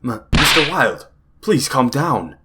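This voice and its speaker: A man's voice: mid-range voice, sing-song, slow. A man playing a polite, orderly judge in an audio drama, speaking in a formal, official manner. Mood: flustered. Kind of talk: audio drama character acting. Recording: noisy background ambience.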